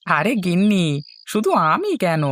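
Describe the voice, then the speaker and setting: A man's voice: sits high, sing-song, slow pace, a bit bright, pitched above the performer's own voice, exaggerated voice. A man voicing a cheerful, chatty village companion in a cartoon, with an exclamatory, familiar manner. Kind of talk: Bengali cartoon voice acting.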